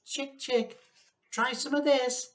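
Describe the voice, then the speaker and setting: A man's voice: extremely high pitch, steady tone, slow, a bit bright, voice pushed up, exaggerated voice. A man voicing an eager, slightly hesitant little boy in a storybook, with a coaxing manner.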